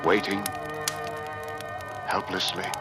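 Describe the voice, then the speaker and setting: An actor playing a grave seafaring first mate in a theatrical, deliberate mid-century radio drama style. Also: male voice, sits low, level tone. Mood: grim, bitter. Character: grave seafaring first mate recounting his ordeal, bashful with ladies. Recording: old radio recording with orchestral music.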